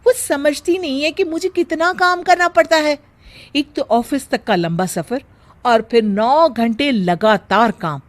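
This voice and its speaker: Woman acting a harried young working daughter-in-law, high-voiced. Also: a little slow. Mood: exasperated, complaining. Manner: exasperated, expressive, emphatic.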